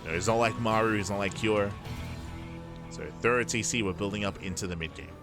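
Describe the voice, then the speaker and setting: A man's voice: on the low side, fairly quick. A man doing live esports commentary in an analytical, explanatory way, often repeating phrases for emphasis. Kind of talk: live StarCraft match commentary. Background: background music.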